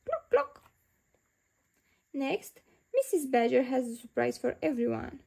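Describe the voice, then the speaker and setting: Casting: female children's storyteller, high voice with creaky edges, expressive read-aloud narration. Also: moderate pace. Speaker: storyteller reading picture books aloud.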